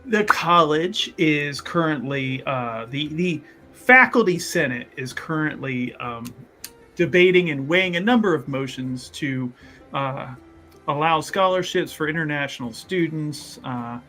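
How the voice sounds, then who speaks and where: Guy roleplaying at the table, high bright voice, full of uhs, bragging. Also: animated, soft background music. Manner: halting, filler-heavy, boastful in character. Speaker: tabletop game player. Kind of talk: in-character roleplay.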